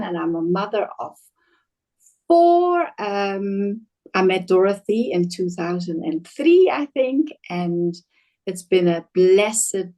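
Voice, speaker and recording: A woman missionary introduces herself in an earnest, bright-toned way. Her voice sits at a middle pitch. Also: rough recording. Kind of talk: casual introduction.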